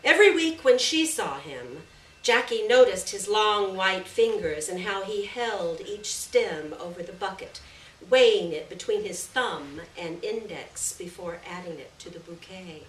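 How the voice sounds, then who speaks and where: A woman reading a literary short story aloud at a live event, in a measured, deliberate storytelling manner with dramatic pauses. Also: mid-range voice, expressive. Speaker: reader of literary short fiction. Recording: echoey room, distant mic, faint noise.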